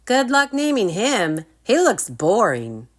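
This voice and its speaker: A woman's voice: mid-range voice, big pitch swings, slow pace, voice pushed up. A woman voicing a cocky child dragon trainer who brags and taunts, in a storybook reading. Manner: brash, taunting.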